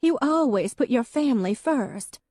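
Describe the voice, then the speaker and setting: A woman's voice: lively intonation, moderate pace, a little mellow, no room sound. A woman voicing a dramatic, defensive young wife who turns tearful and pleading. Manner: shrill, pleading, emotional.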